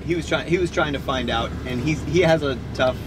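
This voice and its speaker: A middle-aged man in a car, speaking apologetically and haltingly as a helper in a drive-thru prank. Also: a little high. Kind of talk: hidden-camera prank chat. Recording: very noisy car with street sound.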